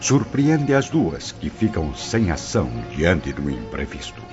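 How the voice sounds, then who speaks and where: A man's voice: low voice, sing-song, unhurried. A man narrating a radio drama in a theatrical, scripted style. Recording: dull lo-fi audio with music behind.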